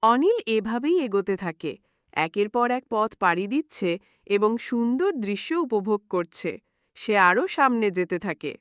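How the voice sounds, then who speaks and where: Clear-voiced woman narrator. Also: medium pitch, sing-song, a little slow, dry recording, studio quality. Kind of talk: storybook narration.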